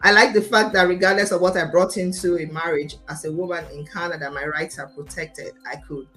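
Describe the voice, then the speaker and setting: Passionate Nigerian woman, lower-pitched voice. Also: steady tone, a little fast, a bit bright, faint music behind, low-quality recording. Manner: passionate, emphatic, confident. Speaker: interview guest, lawyer. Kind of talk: personal storytelling in an interview. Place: remote video call.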